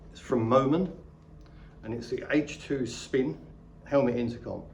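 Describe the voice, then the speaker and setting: A middle-aged man with a soft, dark-toned voice talks casually through a gear review. He is chatty and plain-spoken, and some background noise sits behind him. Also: medium pitch, unhurried, vocal fry. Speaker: vlogger reviewing motorcycle gear. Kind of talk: casual unboxing and review talk.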